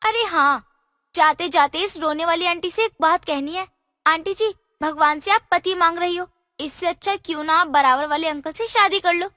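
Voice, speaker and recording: A woman's voice: very high-pitched, moderate pace, bright, forceful tone, dry recording, clean studio sound. A woman voicing a cheeky, playful fairy girl in a cartoon story. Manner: teasing, chirpy, mischievous. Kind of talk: cartoon voice acting.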